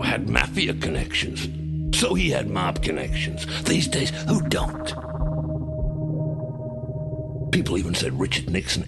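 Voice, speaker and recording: A boozy, cynical American intelligence insider, confides in a very deep voice full of gravel and fry. He is slangy, sardonic and conspiratorial, slipping toward whispers as he gloats through his dramatic monologue. Loud music plays behind him throughout. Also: heavily acted. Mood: scornful, conspiratorial. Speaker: author reading his own work. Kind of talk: dramatic monologue, audio comic narration.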